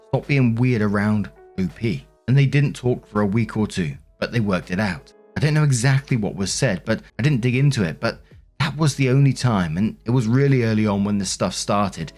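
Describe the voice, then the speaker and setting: A man's voice: on the low side, a little mellow. A man narrating written internet posts aloud as a storyteller. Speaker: narrator of online stories.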